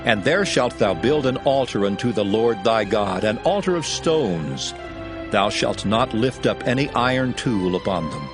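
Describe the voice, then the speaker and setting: A solemn, commanding lawgiver delivers his instructions with a lively, rising and falling delivery. Clear music plays under the voice throughout this dramatized scripture recording. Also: fairly low pitch, unhurried. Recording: music bed beneath voice.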